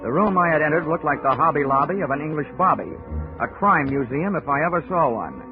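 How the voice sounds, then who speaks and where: An actor with an American accent playing a wry, hard-boiled investigator in a 1940s radio drama, dry and wisecracking. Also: male voice, on the high side, animated, a little fast, close to an everyday voice. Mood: wry narration. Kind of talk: 1940s radio acting, noir narration. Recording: tinny 1940s radio recording, music behind.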